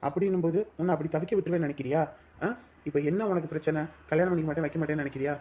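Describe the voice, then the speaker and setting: A young actor playing a brash, protective lover who scolds and reassures forcefully. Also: male voice, fairly high pitch, steady tone, very fast, slight background noise, lightly acted. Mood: reassuring. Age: young adult.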